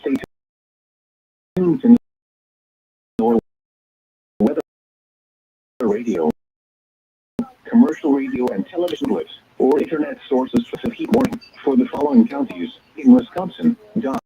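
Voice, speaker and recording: Synthetic man's voice, weather radio alert reading, wildly swinging intonation, gravelly creak, stilted formal phrasing, radio broadcast sound. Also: sits high, sing-song, unhurried, pauses often, dark tone. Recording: tinny, narrow-band weather radio signal.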